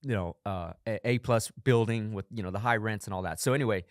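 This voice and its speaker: A man's voice: fairly low pitch, quick pace, no echo. A man in his forties hosting a podcast in a studio, telling stories with upbeat enthusiasm in a motivational monologue. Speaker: podcast host.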